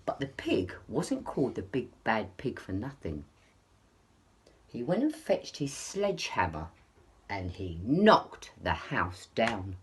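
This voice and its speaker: A woman's voice: extremely low pitch, wildly swooping pitch, slow, a little hiss or hum. A woman storyteller reading expressively to young children, with a dramatic delivery. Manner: dramatic, with hushed asides. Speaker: early years storyteller.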